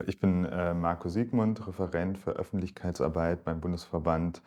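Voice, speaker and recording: A man working in public relations, speaking formally and at a measured pace in a documentary interview. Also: deep voice, fairly even intonation, a little mellow.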